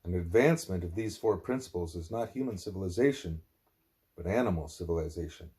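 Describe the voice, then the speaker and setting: Male audiobook reader, lower-pitched, deliberate and emphatic delivery of a scripted spiritual text. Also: expressive, warm tone. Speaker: audiobook narrator, reading a spiritual text aloud. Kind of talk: scripted narration, broken by asides to a small child.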